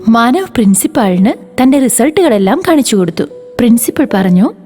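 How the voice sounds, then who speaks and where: A woman's voice: on the high side, very animated, brisk, warm tone, soft background music. A woman narrating a scripted children's moral story.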